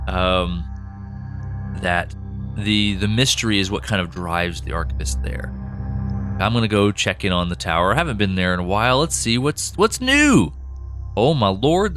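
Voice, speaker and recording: Podcast co-host, man, lowish voice, chatty and loose, faint music bed. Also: a bit bright. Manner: chatty, laughs often, acts out quick voices for characters. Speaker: podcast co-host and show creator. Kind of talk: casual behind-the-scenes chat.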